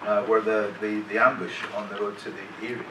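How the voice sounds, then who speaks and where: A man's voice: lowish voice, flat delivery, brisk, noisy background. Man, middle-aged, convention panel guest, chatty rambling anecdotes, distant mic, echoey hall. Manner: rambling, self-deprecating, lots of restarts and chuckles. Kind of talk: casual anecdotes to a live audience.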